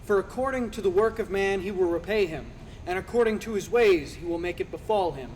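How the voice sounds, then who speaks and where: A man's voice: high-pitched, brisk, darkish tone, close to an everyday voice. A man playing an earnest male counselor who rebukes and lectures in a declamatory dramatized scripture reading. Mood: admonishing. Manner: declamatory, preaching. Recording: reverberant hall, faint background noise.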